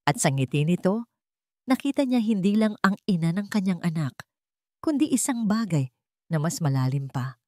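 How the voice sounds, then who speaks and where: Low-pitched woman narrating a dramatic romance. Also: big pitch swings, moderate pace, darkish tone, no room sound, studio quality. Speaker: story narrator.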